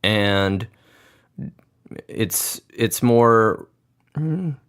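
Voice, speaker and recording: Low-voiced, reflective male podcast host. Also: lively intonation, very slow, fairly bright tone, smooth. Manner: thoughtful, reflective, musing aloud. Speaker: podcast host, grandson of the pianist. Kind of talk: conversational interview.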